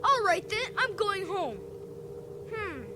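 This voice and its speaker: High-voiced stubborn young boy, noisy tape. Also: big pitch swings, slow pace, exaggerated voice. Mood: defiant. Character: ten-year-old small-town boy, sensible and stubborn. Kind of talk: radio drama acting. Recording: noisy home cassette transfer of radio drama.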